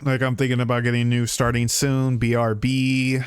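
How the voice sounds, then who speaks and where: A man's voice: mid-range voice, monotone, normal speed, clear, resonant tone, dry recording, clean studio sound. A man chatting casually and informally on a game livestream, rambling from one thought to the next. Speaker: livestreamer playing a video game. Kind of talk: casual stream chat. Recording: music playing behind the voice.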